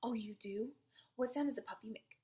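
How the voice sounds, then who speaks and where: A young woman reading a picture book aloud to small children, asking them questions and often dropping into hushed asides. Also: fairly high pitch. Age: young adult. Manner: animated, asks the child listener questions and pauses for answers. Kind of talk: interactive storybook read-aloud for small children.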